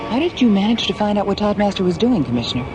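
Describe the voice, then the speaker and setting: An actress playing a composed, determined space station leader in a science fiction radio drama. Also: female voice, mid-range voice, very animated, very fast, muffled recording, over music, natural voice. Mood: serious, concerned.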